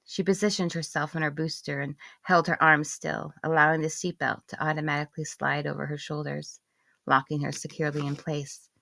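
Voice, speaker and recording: A woman reading scripted first-person literary narration in a measured, expressive way, with phrases falling away softly. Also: low-pitched, creaky, no room sound. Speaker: audiobook narrator and author.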